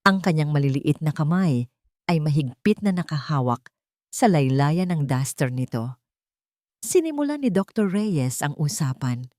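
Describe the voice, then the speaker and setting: A woman narrating a sentimental drama from a script in a deliberate, emotive way. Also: low-pitched, sing-song, dark tone, no room sound, clean studio sound. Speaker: story narrator.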